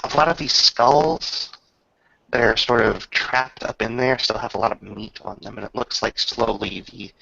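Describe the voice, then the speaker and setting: A man acting as game master, improvising narration for a tabletop roleplaying game in a halting, thoughtful manner. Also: mid-range voice, vocal fry, bright, forceful tone, dry recording, muffled recording.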